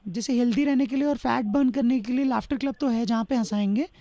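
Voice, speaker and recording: A woman's voice: on the high side, fast, warm tone, dry recording. A woman speaking as a polite, persuasive sales caller, explaining things conversationally. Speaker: phone caller posing as a sales agent. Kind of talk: conversational prank sales call.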